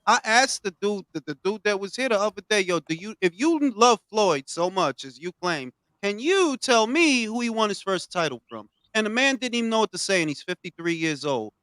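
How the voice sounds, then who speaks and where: Ranting profane man, wildly swinging pitch. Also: sing-song, brisk, hardly any pauses, clean tone, no echo. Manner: ranting, profane, swings from low mutters to loud outbursts. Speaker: podcast host. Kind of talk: heated boxing talk.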